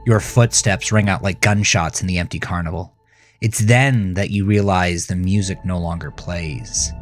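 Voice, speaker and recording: A man's voice: sits low, steady tone, moderate pace, faint music behind. A man narrating a horror story in a dramatic, grave and suspenseful manner. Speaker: author narrating his own horror fiction.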